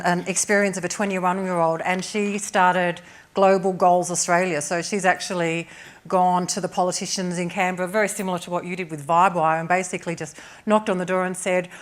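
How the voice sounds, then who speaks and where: A woman moderating a panel discussion, conversational and a little hesitant. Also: lowish voice.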